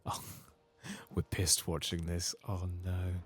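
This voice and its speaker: A soft-spoken man muttering playful, sweary, self-mocking ASMR commentary as he hosts a video game playthrough. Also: deep voice, completely flat delivery, slow pace, slight background noise. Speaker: host of a gaming playthrough channel.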